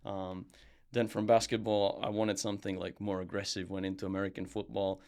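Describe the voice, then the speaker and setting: A young man from Serbia, a podcast guest and brand founder, chatting casually in a relaxed, rambling way with lots of fillers and restarts. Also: low voice, brisk. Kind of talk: casual interview chat.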